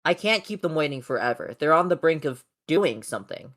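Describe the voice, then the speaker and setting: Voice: medium pitch, animated, fairly quick, a bit bright, natural voice. A person voicing an earnest robot rebel spokesman in an amateur comic dub, speaking formally and persuasively. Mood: earnest pleading.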